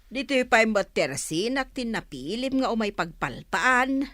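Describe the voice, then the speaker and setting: A woman's voice: a little high, sing-song, a little slow, fairly bright tone, dry recording, clean studio sound. A woman with an Ilocano accent playing a fretful, nagging village woman in an audio drama. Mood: chiding.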